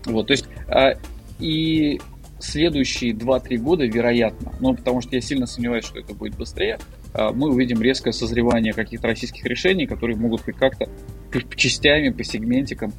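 A man with a gravelly, creaky voice of middling pitch talks as a guest expert in a loose panel chat. He explains things colloquially, stumbling through fillers and restarting his sentences. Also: brisk, faint music behind. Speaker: marketer. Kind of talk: casual panel discussion.

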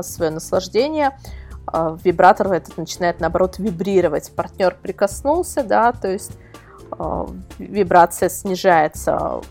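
A woman giving an informal, detailed explanation as a guest expert, talking through examples. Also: mid-range voice. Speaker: guest expert, editor of a product review site. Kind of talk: informal explanatory interview talk.

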